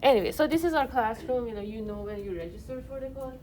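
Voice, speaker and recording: A woman's voice: on the high side, fast talker, darkish tone. A woman from Iran with an Iranian accent, giving a chemistry lecture in a university classroom in a loose, conversational way full of "you know" and "like". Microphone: iPad recording from a distance. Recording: distant mic, noisy classroom.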